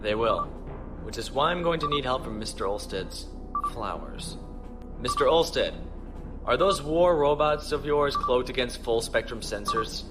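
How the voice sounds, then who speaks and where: An actor playing a calm, commanding starship captain in a measured, authoritative way for an audio drama. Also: male voice, mid-range voice, music in the background, natural voice. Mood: briskly planning.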